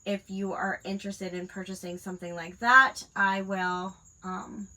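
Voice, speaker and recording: Crafting host, woman in her 30s, stuffy nose from a cold, crisp bright tone, chatty and rambling. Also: mid-range voice, fairly even intonation, unhurried, poor audio. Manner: rambling, many fillers. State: congested from a cold. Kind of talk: casual chat while crafting.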